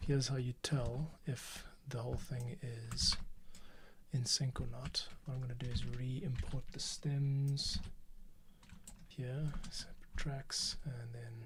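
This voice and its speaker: A man's voice: medium pitch, level tone, slow, noisy background. A man hosting a podcast, thinking aloud to himself as he edits audio and correcting his own mistakes. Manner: muttering to himself, self-correcting. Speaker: podcast host editing his show. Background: music playing.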